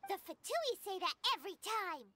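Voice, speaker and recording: Tiny excitable fairy girl, super high squeaky voice, swoops all over, anime game. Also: normal speed, a little hiss or hum, full character voice. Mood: defensive, suspicious. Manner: exclaiming, refers to self in third person.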